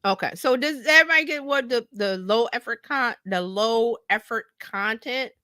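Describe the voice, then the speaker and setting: Bright-voiced woman coaching, dry close sound. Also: a little high, normal speed, no room sound. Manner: conversational, animated, acts out imagined dialogue, checks in with listeners. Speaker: marketing coach presenting. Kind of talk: informal live-stream teaching talk.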